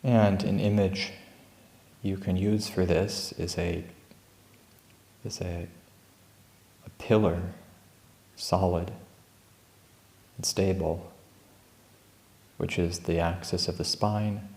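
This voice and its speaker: A man with a deep voice guides a meditation in gentle, calm instructions. His delivery is even, barely rising or falling, with plenty of space between phrases. The sound is roomy and echoing. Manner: calm, unhurried, gentle instruction with long pauses. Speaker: meditation teacher at a Buddhist monastery. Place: indoor room at a monastery.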